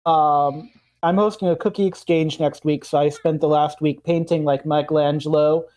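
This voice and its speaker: A man's voice: a little high, steady tone, moderate pace, mellow. A man, a player on the stream, chatting in an upbeat, witty way about his plans. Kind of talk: casual chat.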